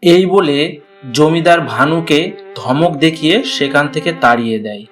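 Guy narrating a cartoon tale, voice on the higher side, scripted, soft music underneath. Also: normal speed.

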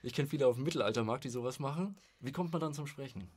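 Slightly husky man hosting a podcast. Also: mid-range voice, rapid-fire, a little mellow. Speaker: podcast host. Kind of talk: casual podcast interview.

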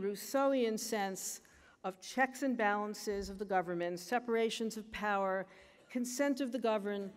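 An older American woman giving a formal graduation speech at a lectern, with a measured, emphatic delivery. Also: on the high side, darkish tone, in a large hall. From United States. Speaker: commencement speaker. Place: large auditorium stage at a lectern. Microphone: lectern microphone.

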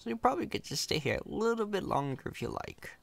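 A man's voice: sits high, big pitch swings, quick pace, creaky, no room sound, heavily acted. A man playing a chatty, cocky, friendly company employee in an ASMR roleplay, casual, reassuring and playfully boastful. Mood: easygoing, friendly.

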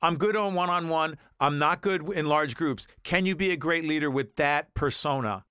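Bright, crisp male radio host. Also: on the high side, normal speed, no echo, clean studio sound. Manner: energetic, jokey, talks over co-host. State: congested with a cold. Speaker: radio talk show host. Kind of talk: casual radio chat. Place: radio studio. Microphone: studio microphone.

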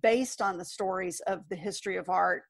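A woman's voice: on the low side, fairly even intonation, normal speed, creaky, no echo, studio quality. A middle-aged woman answering interview questions as a guest author, in a thoughtful, articulate conversational way.

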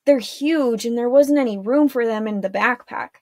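A woman voicing a teenage boy warlock in an audiobook, speaking calmly and matter-of-factly. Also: a little high, normal speed, no room sound, clean studio sound, natural voice. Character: teenage boy warlock Mavik, calm and wry.

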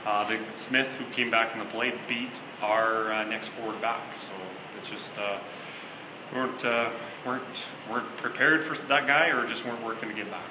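A man in his thirties, a hockey head coach answering postgame questions in a measured, hesitant way with many fillers and restarts. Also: medium pitch, fairly even intonation. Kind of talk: postgame press conference. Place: small interview room before a sponsor backdrop. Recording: echoey room with loud air-conditioning hum.

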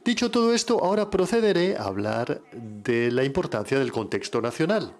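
A man renders an academic lecture as a live interpreter, speaking from a booth at a conference. His voice sits at an ordinary middle pitch. He keeps a measured delivery and often catches and corrects a word mid-phrase. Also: big pitch swings. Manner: measured, with frequent self-corrections.

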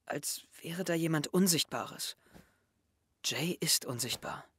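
A man's voice: a little high, animated, slow pace, sharp tone, husky, dry recording, heavily acted. A young man playing an anxious, brooding teenage boy in an introspective, tense audio drama monologue. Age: young adult. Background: music.

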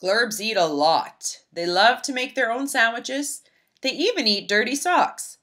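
Lady reading a picture book to kids, bright crisp tone, super animated, kinda high voice. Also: unhurried. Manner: animated, emphatic, storybook delivery. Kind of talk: expressive children's read-aloud narration.

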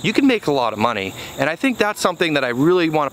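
A man's voice: on the high side, big pitch swings, very fast, fairly bright tone, a little hiss or hum. Thirties male farmer vlogger, casual to-camera talk, plenty of "you know", faint field ambience. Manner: earnest, conversational, many "you know" fillers. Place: outdoors on a small farm field. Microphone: camera mic at arm's length, then on a tripod.

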